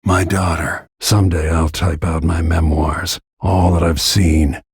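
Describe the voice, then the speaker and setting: Brooding middle-aged game assassin, really raspy deep male voice, grim quiet menace, close dry sound. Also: flat delivery, a little slow, no room sound, exaggerated voice. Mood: brooding, resolute. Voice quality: gravelly, husky. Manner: grim, low-key, menacing. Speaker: video game voice actor. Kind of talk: dramatic game voice lines, brooding inner monologue.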